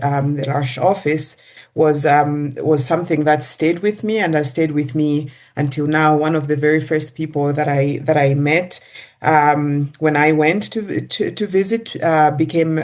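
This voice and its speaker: A middle-aged guest from Zambia, answering interview questions in a hesitant way with many pauses and restarts. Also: medium pitch, a little mellow. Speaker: executive director, guest.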